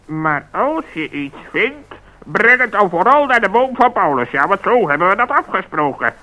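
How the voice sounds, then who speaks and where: Sharp-voiced, fussy bird. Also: male voice, sits high, big pitch swings, normal speed, exaggerated voice, low-quality recording. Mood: fussy, flustered. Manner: exasperated, self-correcting. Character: fussy, flustered, secretive male talking bird.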